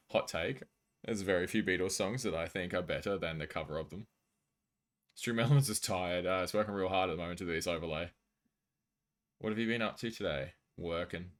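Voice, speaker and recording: Low-voiced Australian man chatting casually. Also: normal speed, long pauses. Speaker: live streamer. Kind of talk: casual chat with stream viewers, reading out messages.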